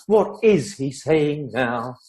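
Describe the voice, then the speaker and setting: A man's voice: mid-range voice, lively intonation, slow, darkish tone. Man acting a mocking young society wit. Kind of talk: amateur radio play acting.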